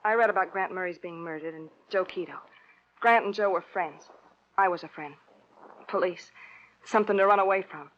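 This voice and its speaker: A woman's voice: fairly low pitch, fairly quick, long pauses, natural voice. Lady playing a guarded young american woman, tired and resigned, old radio noir, kinda dull sound. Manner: subdued, short fatalistic sentences. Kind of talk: 1950s radio crime drama acting.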